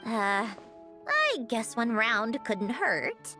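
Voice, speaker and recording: High, prickly game heroine. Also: female voice, wildly swooping pitch, very slow, over music, heavily acted. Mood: reserved, polite. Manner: sardonic, huffy, hesitant. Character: prickly, exasperated, secretly soft young woman. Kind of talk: video game voice acting.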